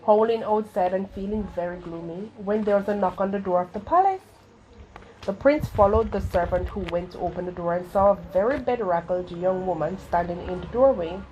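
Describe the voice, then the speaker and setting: A woman reads a children's tale aloud over clear background noise. Her voice sits at a middle pitch, and she takes care with each phrase, shaping it expressively with the odd small stumble. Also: lo-fi sound. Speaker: storyteller reading a children's book aloud. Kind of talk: read-aloud children's storytelling. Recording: clearly noisy home recording.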